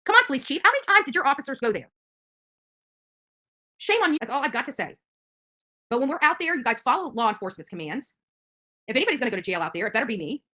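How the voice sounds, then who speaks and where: A woman's voice: high voice, big pitch swings, fast talker, pauses often, sharp tone. A middle-aged woman giving an angry, confrontational rant straight to the camera, indignant and repeating her points. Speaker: activist streamer.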